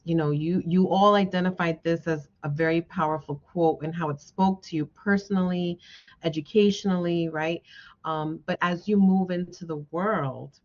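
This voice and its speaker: Low-pitched woman giving a motivational talk. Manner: encouraging, frequently checks in with listeners. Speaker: guest speaker, social worker. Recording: dull, band-limited lo-fi sound.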